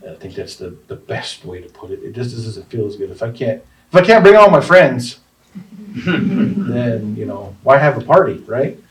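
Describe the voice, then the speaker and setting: A man's voice: mid-range voice, big pitch swings, moderate pace. A man, an artist, answering panel questions thoughtfully, with restarts and hedges. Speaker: artist and exhibition organizer. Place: art center gallery room. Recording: distant mic in a room.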